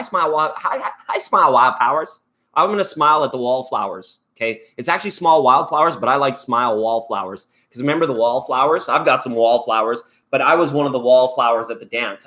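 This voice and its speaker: A man's voice: medium pitch, a bit bright. Chatty Canadian man hosting a live stream. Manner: chatty, rambling, upbeat, thanking viewers by name. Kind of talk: casual live-stream chat.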